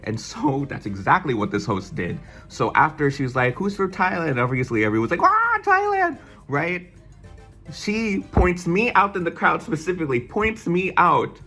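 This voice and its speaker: A young man from the United States telling an excited, swearing storytime vlog and mimicking other people's voices as he goes. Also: on the high side, normal speed, soft background music. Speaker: vlogger. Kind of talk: casual storytime chat.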